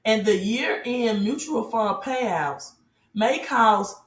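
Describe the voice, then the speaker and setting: A woman giving an off-the-cuff motivational sales pitch as a business promoter, emphatic, repeating key phrases and asking rhetorical questions. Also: expressive, slow, roomy sound. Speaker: business promoter and team leader giving an online presentation.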